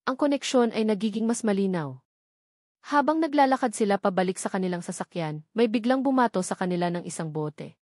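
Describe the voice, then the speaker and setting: A woman's voice: medium pitch, darkish tone, no echo, studio quality. A woman narrating a scripted dramatic story.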